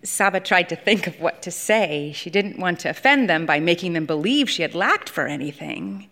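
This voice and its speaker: A woman reading a literary short story aloud in an expressive narrating style. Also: lowish voice, moderate pace. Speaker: story reader.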